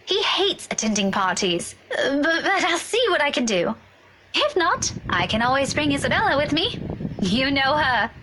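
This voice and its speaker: A woman's voice: high-pitched, very animated, normal speed, bright, forceful tone, a little hiss or hum, exaggerated voice, poor audio. A woman playing a caring, teasing young adult daughter in a visual novel, speaking casually and expressively. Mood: light, affectionate.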